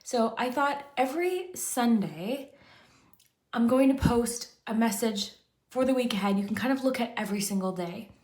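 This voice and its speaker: A woman hosting a pick-a-card tarot reading, chatty and rambling, and a little hoarse from losing her voice. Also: on the high side, animated, normal speed, a little mellow. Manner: chatty, rambling, frequent "kind of" and restarts. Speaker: tarot reader, channel host. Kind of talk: casual pick-a-card tarot chat to camera.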